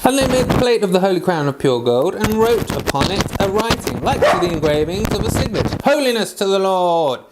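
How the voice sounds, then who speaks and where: A man with a notably high-pitched voice reads Bible passages aloud. His delivery is that of an amateur reader, somewhat halting as he works through the formal old text. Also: sing-song, very noisy. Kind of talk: scripture reading aloud.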